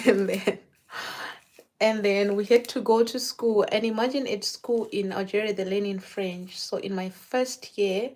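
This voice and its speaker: A chatty woman from Zimbabwe, talking with a client as she works on her hair. Her pitch sits in an ordinary middle range for a woman. She loops back and repeats words as she restarts her sentences, in an easy, conversational way. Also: steady tone, measured pace. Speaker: hairstylist. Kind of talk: casual chat while doing hair.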